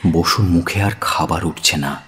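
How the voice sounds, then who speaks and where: Deep-voiced man narrating slowly and dramatically. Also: fairly bright tone, breathy edge. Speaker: story narrator. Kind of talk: dramatic scripted audio story narration. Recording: music under the voice. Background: background music.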